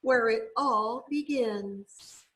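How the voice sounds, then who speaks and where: A woman's voice: on the high side, expressive, slow pace, a bit bright. A woman voicing a cheery, grandly enthusiastic little female bird teacher in a storybook, chirpy and theatrical. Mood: delighted, marveling.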